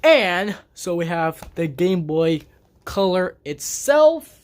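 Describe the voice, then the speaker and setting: A game collector vlogging casually about their collection, talking in a halting, rambling way with many restarts and blunt grumbles. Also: medium pitch, big pitch swings. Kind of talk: casual collection show-and-tell vlog. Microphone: camera on a tripod.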